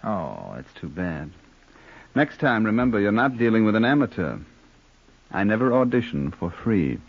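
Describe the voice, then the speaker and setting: A man's voice: on the low side, sing-song, moderate pace. An actor playing a cool, menacing hired gunman in 1940s radio style, smug, sly and mockingly polite. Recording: dull lo-fi old radio recording.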